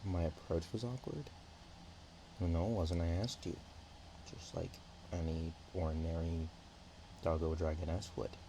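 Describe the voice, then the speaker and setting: A man voicing a shy, playful young dragon-dog girl in an ASMR roleplay, speaking softly and haltingly with naive affection. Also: deep voice, fairly even intonation, background noise. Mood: bashful, naive. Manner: hesitant, halting, giggly, soft-spoken. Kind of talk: ASMR roleplay, one-sided intimate chat.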